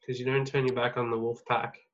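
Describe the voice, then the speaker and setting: A man's voice: medium pitch, level tone, brisk, crowd noise. A man hosting a casual podcast, swearing freely and laughing as he reads out betting odds. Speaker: podcast host taking bets. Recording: dull lo-fi sound with faint noise.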